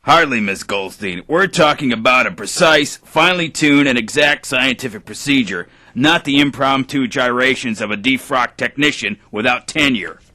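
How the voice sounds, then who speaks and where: An actor playing a pompous, precise genetic engineer in an audio drama, speaking formally. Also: male voice, medium pitch, normal speed, hardly any pauses, pushed and bright. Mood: self-important, formal. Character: self-important male genetic engineer and entrepreneur, precise, pompous.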